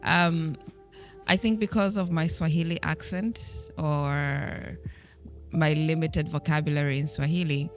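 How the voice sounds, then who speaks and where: A woman's voice: low voice, fairly even intonation, a little slow, faint music behind. A woman chatting casually as the host of a talk show. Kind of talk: casual conversation.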